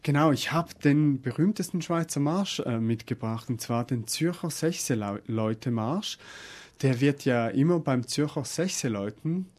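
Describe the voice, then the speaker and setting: A man speaking as a guest expert, explaining things conversationally with hesitant pauses. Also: mid-range voice, lively intonation, a little mellow.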